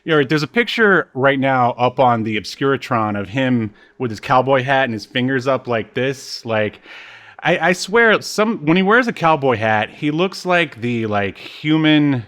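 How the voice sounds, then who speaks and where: A man's voice: medium pitch, animated, measured pace, a bit bright. A man co-hosting a podcast in casual conversation, with joking asides. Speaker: podcast co-host. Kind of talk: casual chat about a reality TV show.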